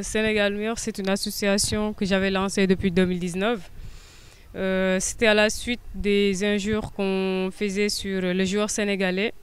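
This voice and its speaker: A young woman with a Senegalese accent answers questions earnestly, pausing often and filling gaps with hesitations. Her voice is very smooth and clear, and her pitch stays fairly even. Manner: hesitant, many filler sounds, earnest. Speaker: guest. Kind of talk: interview answers.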